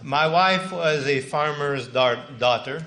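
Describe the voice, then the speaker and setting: Older Canadian-born man, bright forceful voice, steady short testimony phrases for live interpreting. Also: a little high, slight background noise. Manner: plain, unhurried storytelling in short phrases. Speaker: guest speaker giving testimony. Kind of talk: testimony sermon with line-by-line interpreting.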